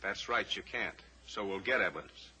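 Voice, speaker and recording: An actor playing a steady middle-aged rancher-pilot hero in a 1950s radio drama, calm, reassuring and firm. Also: male voice, deep voice, normal speed. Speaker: lead character.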